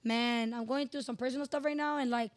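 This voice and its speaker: Hoarse, high-voiced young woman telling stories. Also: fairly quick. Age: 20s. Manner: animated storyteller, slangy and profane, emphatic. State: hoarse from hosting events. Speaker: podcast guest, radio personality. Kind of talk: candid casual interview chat.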